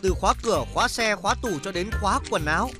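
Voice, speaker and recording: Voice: mid-range voice, fast, music in the background. A narrator reading scripted mock news reports for a comedy cartoon.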